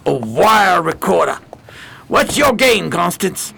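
An actor playing a tough, blustering crooked detective in a 1920s crime radio drama, with gruff, slangy talk. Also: male voice, high voice, big pitch swings, slow, pushed and bright, full character voice. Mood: surprised, angry.

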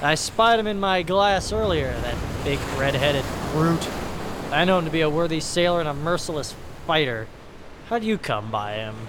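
An actor playing a boastful, menacing pirate captain in an audio drama, with a blustering, swaggering delivery. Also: male voice, fairly high pitch, lively intonation, moderate pace, noisy background, lightly acted.

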